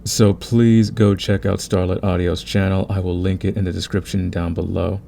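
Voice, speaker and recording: Male creator-host, low gravelly voice, casual thank-you outro, slight background noise. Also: flat delivery, moderate pace, warm tone. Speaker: audio roleplay creator, host.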